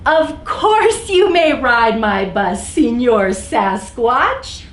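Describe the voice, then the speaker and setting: A woman voicing an obliging male blob bus driver in a picture book, with formal, drawn-out, emphatic announcements. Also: a little high, very animated, full character voice. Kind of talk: picture-book read-aloud. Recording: roomy echo, some background noise.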